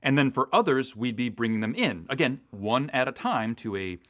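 A man talks as a podcast co-host and director. His middling-pitched voice moves with lots of melody. He explains in measured, conversational phrases that sound partly prepared. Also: expressive, a bit bright. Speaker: audio drama director, co-host. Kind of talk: semi-scripted podcast conversation.

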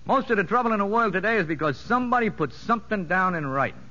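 A sly convict safecracker from a vintage radio play. His voice is sharp and very animated, full of street slang and feigned innocence. The recording is dull and aged. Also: high voice, fast talker. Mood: sly, cocky. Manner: streetwise slangy talk, mock-earnest. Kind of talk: 1950s radio drama acting. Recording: muffled vintage radio broadcast.

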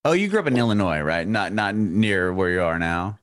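A man's voice: low-pitched, animated, brisk, fairly bright tone, no echo, studio quality. A man from San Diego hosting a casual interview over a video call, chatty and friendly, laughing along. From San Diego, California. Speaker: interviewer host.

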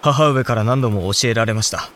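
A young samurai heir played by a man speaks with a tense, bright edge at a middle pitch. He is earnest and formally respectful as a son, intense in historical audio drama style, over faint rain-like noise. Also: brisk, close to an everyday voice. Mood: solemn.

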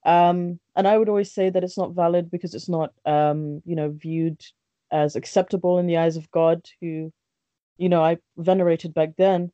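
A woman's voice: fairly low pitch, little pitch movement, moderate pace, mellow, no echo. A woman, a guest talking candidly and chattily about her life, with lots of restarts and fillers. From born in Bangladesh, raised in London. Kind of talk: casual podcast interview. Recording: dry, dull lo-fi sound.

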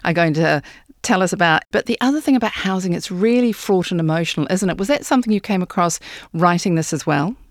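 Kiwi woman host doing an interview, voice a bit on the low side. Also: expressive, fast talker, no echo, studio quality. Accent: New Zealand. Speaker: interviewer and presenter. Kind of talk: conversational radio-style interview.

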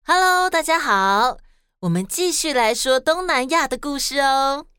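A woman's voice: high-pitched, lively intonation, a little slow, fairly bright tone, no room sound, clean studio sound, soft background music. A woman with a Taiwanese accent hosting and narrating stories expressively for children. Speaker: host and storyteller of a children's podcast.

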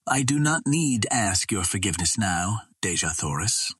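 Man voicing an ardent, husky soldier hero. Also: on the low side, big pitch swings, moderate pace, fairly bright tone, no echo, clean studio sound, exaggerated voice. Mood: resolute. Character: ardent, determined male soldier hero from Virginia. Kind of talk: dramatic audiobook dialogue.

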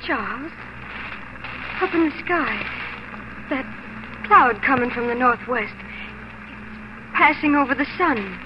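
A woman's voice: sits high, expressive, slow, long pauses. An American actress playing a devoted, hopeful young pioneer wife and mother, earnest and emotional in 1940s radio style. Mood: awed. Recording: muffled, noisy 1940s radio recording.

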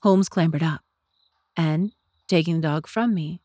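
A woman's voice: low voice, a little slow, mellow, dry recording. A woman reading scripted story narration as the narrator of a dramatised audiobook.

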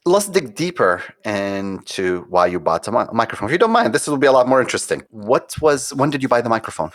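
A man's voice: medium pitch, brisk, no echo. A man, a guest on a podcast interview, talking casually and animatedly, often saying "like, you know" and acting out what others say. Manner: animated, storytelling with acted-out quotes, frequent "like, you know". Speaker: guest, conversion optimization consultant.

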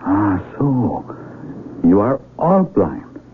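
An actor playing a polite, bewildered young mountaineer in a radio drama, earnest and a little hesitant. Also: male voice, fairly low pitch, big pitch swings, very slow, exaggerated voice. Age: young adult. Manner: hesitant, polite, exclaiming in wonder. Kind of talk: 1970s radio drama acting. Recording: tinny, noisy 1970s AM radio recording.

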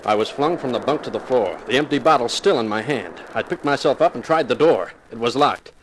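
A man's voice: mid-range voice, lively intonation, fairly quick, a little hiss or hum, close to an everyday voice, low-quality recording. Guy doing sardonic boozy private eye, old radio crime show, voice swings up and down a lot. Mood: wry, brooding. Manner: wry, sardonic. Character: wry, hard-drinking male private detective, cool under threat. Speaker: lead actor and narrator. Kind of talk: 1950s radio crime drama acting, hardboiled narration.

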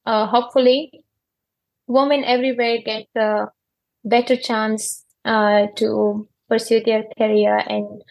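A young Afghan-accented woman with a fairly high voice gives informal interview answers. English is not her first language, so she moves haltingly and often searches for the right word. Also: vocal fry, poor audio. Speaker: interview guest, cricketer.

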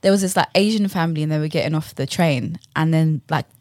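A young woman with a London accent co-hosting a podcast, telling personal stories in casual, slangy, animated speech. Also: low-pitched, fast, dry recording, studio quality. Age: young adult. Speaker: podcast co-host. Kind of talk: casual chat and personal storytelling.

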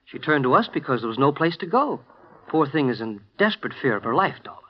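A man's voice: a little high, sing-song, quick pace, breathy edge. An actor playing an earnest middle-aged male insurance executive in a 1950 radio drama, hesitant and coaxing. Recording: dull 1950 radio transcription.

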